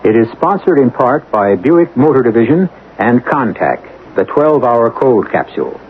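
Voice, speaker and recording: A man hosting a radio mystery show, reading a scripted introduction in a theatrical, deliberate way. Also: medium pitch, expressive. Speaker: host of a radio mystery anthology. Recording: lo-fi, noisy old radio broadcast.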